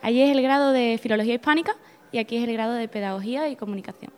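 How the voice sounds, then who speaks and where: A young woman in her twenties answers interview questions. Her voice is fairly high and smooth. She speaks in a friendly way but hesitates and repeats small words while she thinks. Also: racing, darkish tone. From Spain. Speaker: exchange student guest.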